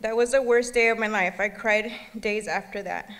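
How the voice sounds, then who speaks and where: A woman in her thirties, born in El Salvador, giving an earnest personal testimony at a gala, speaking with occasional halting restarts. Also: mid-range voice, fairly even intonation, fairly bright tone. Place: gala banquet hall. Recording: long reverb of a large hall.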